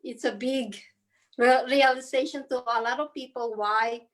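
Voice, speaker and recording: A woman in her thirties, from the Philippines, speaks a little high as she introduces herself to an online panel. She is earnest and halting, with many fillers, and she comes through a video call. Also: fairly even intonation. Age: 30s. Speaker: panelist, spa owner.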